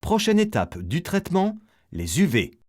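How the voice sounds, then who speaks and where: A man's voice: sits high, wildly swooping pitch, a little slow. A man reading a scripted educational narration as the narrator of an explainer film, setting things out in a didactic, step-by-step way.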